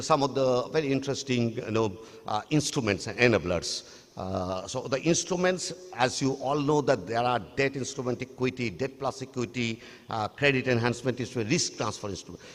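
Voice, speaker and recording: Halting man presenting in a hall. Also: mid-range voice. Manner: halting, frequent uh and restarts. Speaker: conference presenter. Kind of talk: formal presentation with many fillers. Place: large conference hall. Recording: reverberant hall.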